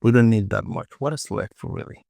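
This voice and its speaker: A man presenting a casual software tutorial, informally talking himself through the work and often repeating words, close to the mic. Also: fairly low pitch, a little fast, creaky, no echo.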